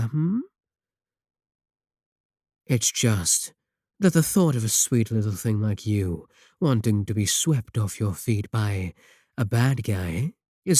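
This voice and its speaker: A man playing a seductive, possessive evil warlock in an intimate romantic audio roleplay, teasing and deliberate, with a husky voice. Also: lowish voice, mellow, no echo, studio quality. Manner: slow, teasing, deliberate, with drawn-out phrasing.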